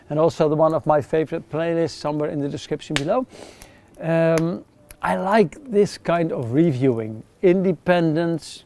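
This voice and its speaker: A middle-aged man with a Dutch accent, chatting casually to camera outdoors by a campfire, relaxed with frequent ums. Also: on the high side, slight background noise. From Netherlands. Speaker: outdoor gear reviewer.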